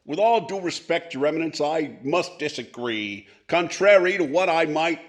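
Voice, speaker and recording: A man's voice: a little high, lively intonation, moderate pace, lightly acted. A man playing a pompous, boozy old movie comedian, grandiloquent with muttered asides, in 1940s radio comedy style. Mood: pompous, oily.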